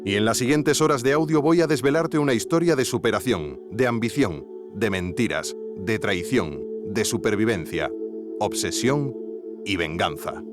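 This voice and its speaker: A man's voice: on the low side, animated, moderate pace, music in the background. A man narrating a scripted investigative podcast, theatrical and speaking straight to the listener. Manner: theatrical, addressing the listener directly.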